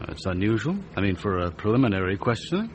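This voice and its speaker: A man's voice: on the low side, big pitch swings, fast, slight background noise. A man playing a shrewd, plain-spoken police investigator in a detective radio drama, blunt, matter-of-fact and inquisitive in conversation. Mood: shrewd, probing.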